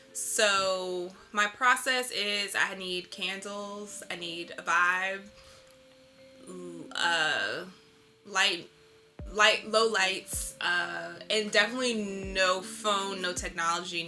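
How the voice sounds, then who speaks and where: A woman's voice: lowish voice, bright, forceful tone. A woman being interviewed, answering casually in a candid, reflective and informal storytelling way. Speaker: interviewed artist.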